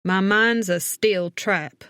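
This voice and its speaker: A woman voicing a gruff, cocky, easygoing man with a Southern US drawl in an audiobook. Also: medium pitch, fairly even intonation, slow, a bit bright, no echo, clean studio sound. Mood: cocky, casual. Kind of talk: audiobook character acting.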